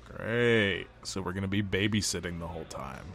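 An actor playing a crude, hot-headed soldier in an audio drama, blunt and sneering. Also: male voice, low voice, normal speed, a bit bright. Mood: scornful.

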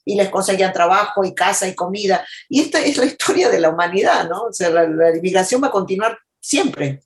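A woman's voice: mid-range voice, expressive, fairly quick, slight background noise. A woman speaks as an interview guest, a journalist looking back on her work. Her delivery is earnest and reflective, with a mid-range voice. She talks in an unscripted, conversational way. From United States, Argentine family.

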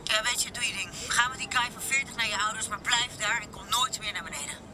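A woman's voice: a little high, quick pace, noisy background, close to an everyday voice. An actress playing a hurt, jealous young woman in a dystopian audio drama. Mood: jealous, bitter.

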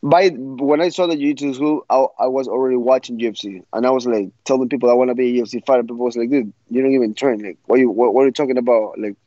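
A man's voice: medium pitch, steady tone, fast, few pauses, mellow, no room sound, dull audio. A young man from Ecuador, giving frank, blunt interview answers full of restarts. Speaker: guest fighter.

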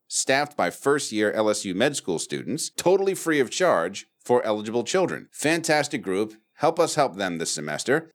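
A relaxed young man with a low, easygoing voice runs the show, dropping curses as he goes. He talks in loose, casual chat with the ease of a regular host. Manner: laid-back, swears freely. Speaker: podcast host.

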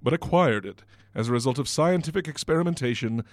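A man's voice: medium pitch, expressive, fast talker, natural voice. A man playing an earnest, scholarly rabbit paleontologist who lectures pompously as an interview guest in a radio play.

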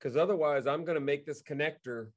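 Higher-pitched man telling stories in conversation. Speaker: guest co-host and theatre director. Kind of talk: casual storytelling in conversation.

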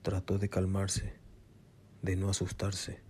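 Flat, low male narrator. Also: slow, a little hiss or hum. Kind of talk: scripted suspense narration for radio drama.

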